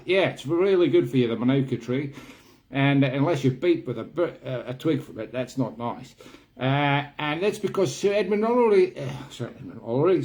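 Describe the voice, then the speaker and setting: A man's voice: a little high, darkish tone, natural voice. A man playing a jokey, rambling mountaineer in a comic monologue, attempting a New Zealand accent that sounds Australian. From Scotland. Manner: rambling, self-mocking, chuckling, halting. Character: jokey male mountaineer with a wobbly put-on antipodean accent. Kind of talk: comic character monologue to camera. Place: kitchen in a house.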